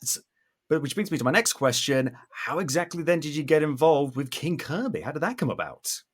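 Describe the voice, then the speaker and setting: A man's voice: on the high side, sing-song, brisk, slightly rough. A man hosting a casual interview podcast, self-deprecating and a little theatrical in how he talks.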